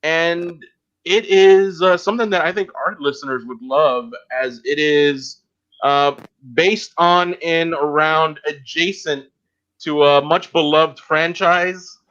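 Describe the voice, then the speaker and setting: A man with a bright, slightly high voice hosts a casual podcast interview. He feels his way through sentences in a halting style, with frequent pauses. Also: lo-fi sound. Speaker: podcast host.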